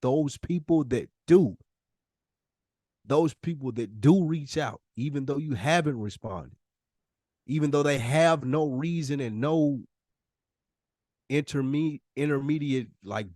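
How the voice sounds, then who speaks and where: A man's voice: medium pitch, mellow, dry recording, clean studio sound. An American man co-hosting a podcast, giving conversational advice in an emphatic way and repeating phrases for weight. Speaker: podcast co-host.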